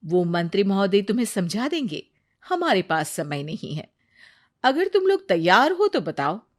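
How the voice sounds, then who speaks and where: A woman voicing a gracious but firm queen in a story, with a measured, authoritative manner. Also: medium pitch, sing-song. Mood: firm, approving.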